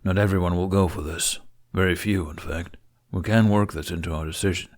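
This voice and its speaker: A man playing a stern middle-aged Viking chief in an audiobook, with a gruff, measured and commanding delivery. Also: deep voice, steady tone, close to an everyday voice. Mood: grave, reluctant. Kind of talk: audiobook character acting.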